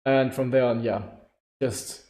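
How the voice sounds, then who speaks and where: A man's voice: fairly even intonation, measured pace, mellow. Young German man, rambling in a roomy space. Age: 20s. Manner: rambling, self-correcting, laughing often. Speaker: livestream host. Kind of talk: casual live Q&A. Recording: echoey room with low-quality stream audio.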